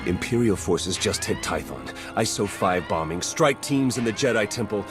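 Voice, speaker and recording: A man's voice: fairly low pitch, expressive, fairly bright tone. An actor voicing an urgent male intelligence agent in a game. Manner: hurried and urgent. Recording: game audio with music.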